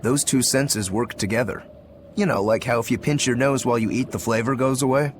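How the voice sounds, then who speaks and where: An actor playing an easygoing male swordsman demon who loves drink, talking in a relaxed, teasing way in a video game cutscene. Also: medium pitch, fairly quick, a little hiss or hum, lightly acted. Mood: curious. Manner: laid-back, teasing.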